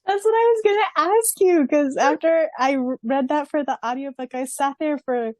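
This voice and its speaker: A woman's voice: high voice, normal speed, dry recording. Woman podcast co-host, dry close sound. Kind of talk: casual chat.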